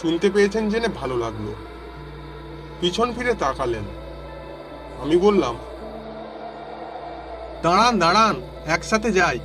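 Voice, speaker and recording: A man's voice: high voice, sing-song, a little slow, pauses often, over music. A man voicing a village traveller who narrates his suspenseful walk home as a dramatic first-person audio story. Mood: friendly, calling. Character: male villager walking home through a storm, narrating.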